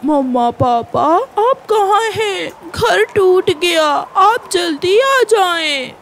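A woman's voice: very high voice, animated, slow, noisy background, pitched above the performer's own voice, exaggerated voice. A woman voicing a childish, stubborn young boy bird with a whiny manner in a cartoon. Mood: frightened.

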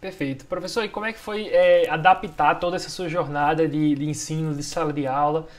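A young man interviews his guest over a webcam from a room at home, firing off long, friendly questions at a quick clip. His voice sits a little high for a man. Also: fairly even intonation. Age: young adult. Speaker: interviewer, host. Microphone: video call, laptop webcam.

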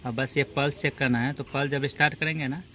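Bright-voiced man explaining car repairs. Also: mid-range voice, steady tone, quick pace. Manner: informal, repeatedly checking in with short tags. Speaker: auto electrician and video host. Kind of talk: hands-on car repair tutorial, explaining step by step. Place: in and beside a car, at the engine bay. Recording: noisy handheld workshop recording with engine noise.

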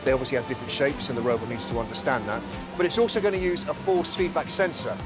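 Calm man guiding a factory tour. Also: mid-range voice, fast. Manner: calm, clear, explanatory. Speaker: factory guide. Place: large factory floor, indoors. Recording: music bed under voice.

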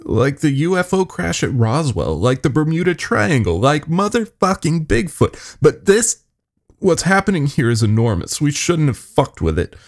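A man's voice: a little high, very animated, moderate pace, pitched above the performer's own voice. A man voicing a nervous young male intern with secrets in an audio horror story, urgent and blunt. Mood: awed, rattled.

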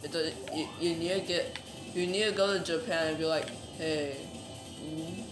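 A woman's voice: low voice, fairly even intonation, normal speed. A young woman playing a video game with a friend, teasing and joking in casual chat. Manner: teasing, exasperated, jokey. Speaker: game player. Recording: echoey room, game music behind.

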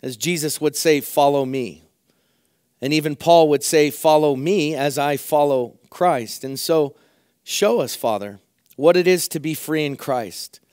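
A middle-aged pastor preaches from the pulpit in a hoarse, fairly high voice. He talks earnestly and repeats phrases to drive each point home. The sound is dry and close, with little room echo. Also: a little slow, a little mellow. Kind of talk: sermon. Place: church sanctuary at a pulpit, indoors.

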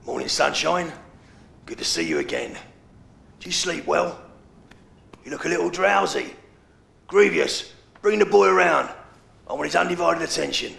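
Gravelly London crime boss, taunting. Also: male voice, a little high, fairly bright tone, a little hiss or hum. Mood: mocking. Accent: London English. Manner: taunting, theatrical, deliberate. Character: older menacing mocking male London crime boss. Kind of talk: video game cutscene acting. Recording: echoey room with music underneath.

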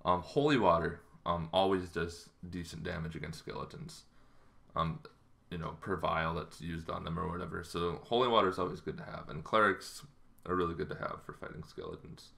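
A man in his thirties talking casually to camera in an explanatory way, halting and improvised, in a small bedroom. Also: low-pitched, fairly even intonation, creaky, fairly bright tone. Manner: halting, loosely improvised delivery. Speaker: tabletop roleplaying game vlogger.

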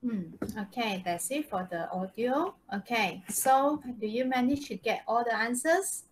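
A woman's voice: on the high side, a little slow, a little hiss or hum, poor audio. A woman with a Malaysian accent teaching English conversationally on a video call, hesitant and checking in with students. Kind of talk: live online lesson, conversational.

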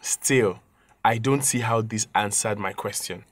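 A man's voice: fairly low pitch, unhurried, close to an everyday voice. A man playing a bitter, suspicious young hospital patient in an audio drama, with a Nigerian accent and scornful questioning. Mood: scornful, angry.

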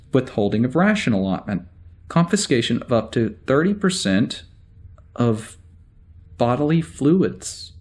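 Guy playing a spaceship security officer doing his log, male, average pitch, rambly and wry, gets exasperated easy, audio drama. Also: darkish tone. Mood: distracted. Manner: halting, conversational, wry.

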